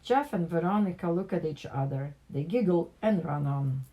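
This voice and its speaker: A woman reads a picture book aloud in an expressive storytelling style for children. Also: on the low side, moderate pace, lo-fi sound. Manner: animated character dialogue with plain narration.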